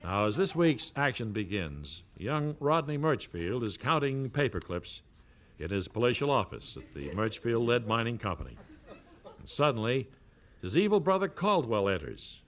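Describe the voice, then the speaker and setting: A man's voice: medium pitch, sing-song, moderate pace, fairly bright tone. A man voicing a melodramatic soap opera narrator in a radio parody.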